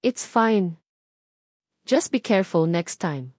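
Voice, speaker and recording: A woman's voice: mid-range voice, lively intonation, slow pace, darkish tone, no echo, clean studio sound. Woman narrator as a cold, commanding male boss. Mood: cold, firm. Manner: curt, firm, controlled. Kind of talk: audio storybook character voice.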